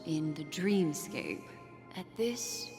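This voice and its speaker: A woman playing an enigmatic, composed keeper of memories in a video game, speaking in a measured, poised, soothing way. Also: low-pitched, lively intonation, heavily acted. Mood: grave, deliberate. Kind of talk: scripted video game voice acting. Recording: long reverb, game music behind.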